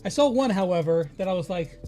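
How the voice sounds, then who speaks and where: A man's voice: high voice, animated, a little fast, warm tone. A man interviewing a guest in a casual, chatty style. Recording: music playing behind the voice.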